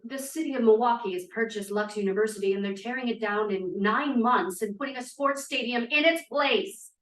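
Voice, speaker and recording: A bossy, sardonic female student played by a woman with a fairly high voice, unflappable in a script read-through. The sound is roomy and distant from the microphone. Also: fairly quick, lightly acted. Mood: firm, sardonic. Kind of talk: informal script table read. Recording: echoey room, distant mic.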